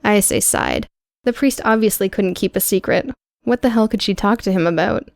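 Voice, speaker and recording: A woman reading scripted audiobook prose in a measured, dramatic storytelling manner. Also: mid-range voice, gravelly, a little hiss or hum. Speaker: audiobook narrator.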